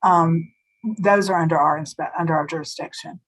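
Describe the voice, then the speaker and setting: A woman giving a food safety presentation at a webinar, slightly hoarse and explaining step by step with small restarts. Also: low-pitched, steady tone, normal speed, poor audio. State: slightly hoarse, losing her voice.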